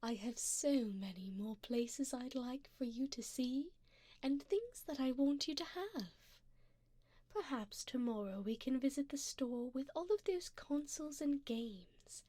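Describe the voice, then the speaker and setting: Woman as a breathy, whispering seductive vampire. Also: sits high, expressive, warm tone, dry recording. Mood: doting, fond. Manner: slow, tender, genteel endearments. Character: doting, seductive wealthy female vampire sugar mommy. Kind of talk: ASMR roleplay, intimate and close. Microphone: close binaural microphone.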